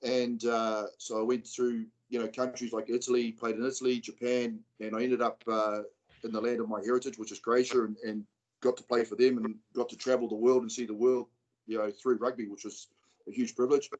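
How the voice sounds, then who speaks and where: A man's voice: medium pitch, fairly quick, dull audio. Kiwi guy in his 40s chatting loosely on a video call, lots of ums. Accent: New Zealand. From New Zealand. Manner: relaxed, rambling, many fillers. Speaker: rugby coach. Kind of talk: casual video call interview.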